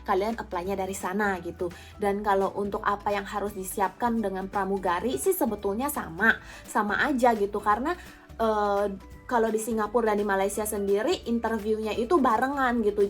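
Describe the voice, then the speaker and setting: A woman's voice: medium pitch, fairly even intonation, brisk. A young woman with an Indonesian accent, talking casually and informally to viewers as she answers their questions. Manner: informal, conversational, addresses viewers directly. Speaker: vlogger, former or current flight attendant. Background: background music.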